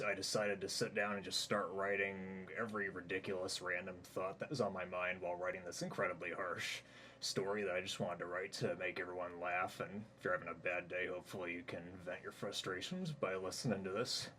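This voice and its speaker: A man with a low, bright and forceful voice narrates his own absurd comic story in a deadpan, rambling way. A faint hum sits behind him. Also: a little fast.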